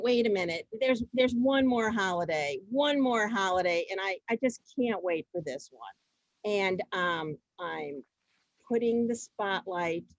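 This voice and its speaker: A woman hosting an amateur theater event informally, halting and often repeating her words as she goes. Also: medium pitch, dry recording, muffled recording. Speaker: host introducing performers.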